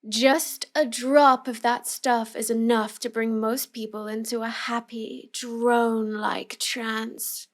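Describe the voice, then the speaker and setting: A woman's voice: fairly high pitch, flat delivery. A woman playing a cute, smug young villainess who teases and manipulates the listener in a scripted roleplay audio. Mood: smug, gloating. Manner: slow, smug, taunting delivery.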